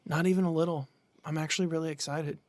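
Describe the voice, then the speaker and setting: A man's voice: fairly high pitch, fairly even intonation, fast talker, no echo, close to an everyday voice. A young man acting as an easygoing, casual friend in a horror audio drama. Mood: warm, sleepy. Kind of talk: naturalistic audio drama dialogue.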